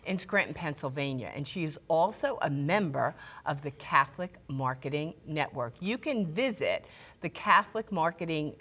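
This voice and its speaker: A woman's voice: low voice, expressive, normal speed, fairly bright tone, breathy edge. A woman speaking as a talk show host, introducing and questioning guests in a conversational way. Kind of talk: conversational interview hosting.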